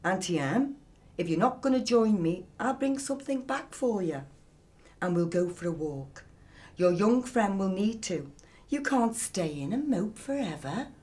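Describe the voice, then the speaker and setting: A woman's voice: medium pitch, expressive, measured pace, a little mellow, close to an everyday voice. A woman voicing a caring, bossy older neighbour woman in an audiobook, insistent and coaxing. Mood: brisk coaxing.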